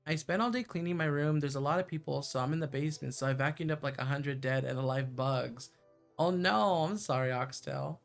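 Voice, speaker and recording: Higher-voiced playful male livestreamer. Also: fairly quick, creaky. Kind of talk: casual stream chat and reading visual novel narration aloud. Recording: music under the voice.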